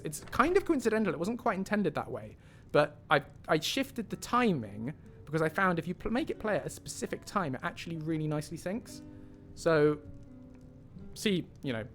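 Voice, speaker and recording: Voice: medium pitch, animated, a little fast, a bit bright. A person live streaming, chatting casually and correcting themselves as they explain things, with game music behind. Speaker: live streamer, mod creator. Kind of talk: casual livestream commentary.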